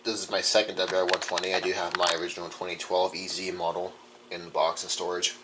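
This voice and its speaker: A man's voice: low voice, flat delivery, fast. A young man giving a casual, rambling show-and-tell of his collection in an informal way, recorded in a room. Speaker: radio collector. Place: indoor room. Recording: some background noise.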